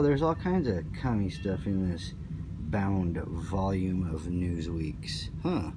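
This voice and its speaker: A man giving casual, off-the-cuff commentary as he browses, rambling in a wry, slangy way. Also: a little mellow. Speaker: online seller showing an item. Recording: noisy amateur recording with background rumble.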